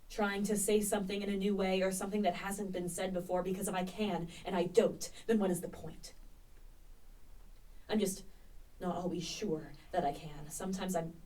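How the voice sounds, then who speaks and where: A woman's voice: on the low side, a little mellow, lightly acted. A woman playing a witty, restless young male poet in a radio play, bookish and dry. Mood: earnest, restless. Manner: bookish, dry, quick to retort. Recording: distant microphone with clear background noise.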